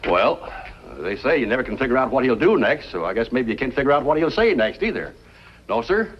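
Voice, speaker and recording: Dull vintage soundtrack, man as earnest western banker, folksy, courteous, lively intonation. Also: mid-range voice, brisk, slight background noise, natural voice. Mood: wry. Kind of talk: 1950s television western acting. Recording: dull old 1950s television soundtrack.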